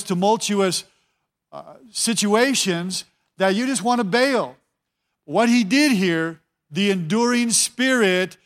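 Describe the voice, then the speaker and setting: A man's voice: high-pitched, fairly bright tone. A man preaching a sermon as a pastor, talking conversationally, repeating his words and often telling listeners to watch.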